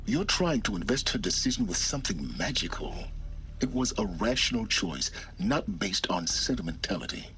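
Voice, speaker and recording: A man playing a coolly rational, brooding scholar in a video game, speaking in measured, articulate, grand phrases. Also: on the low side, very animated, pushed and bright, exaggerated voice, poor audio. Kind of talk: dramatic video game acting. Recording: clear background ambient noise.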